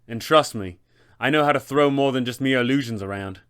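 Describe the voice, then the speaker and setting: A man's voice: mid-range voice, a little fast, a bit bright, smooth, lightly acted. A man voicing a cocky, scornful illusion wizard in a tabletop fantasy game, with a boastful, theatrical manner.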